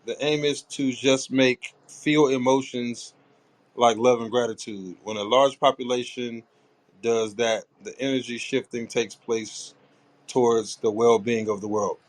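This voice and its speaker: A man's voice: medium pitch, steady tone, low-quality recording. A man hosts a casual podcast in a mid-range voice. He rambles, jokes with his friends and drifts off track. Now and then he stops to read a book passage aloud, stumbling over it. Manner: loose, joking, rambling; reads passages aloud haltingly. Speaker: podcast host. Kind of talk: casual chat.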